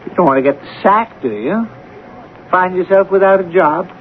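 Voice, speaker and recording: Jokey smug head clerk, urbane banter, highish voice, old tinny radio play. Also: male voice, expressive, moderate pace, a little hiss or hum. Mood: needling, amused. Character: teasing, jovial male chief clerk, needling and self-important. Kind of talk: 1970s radio drama acting.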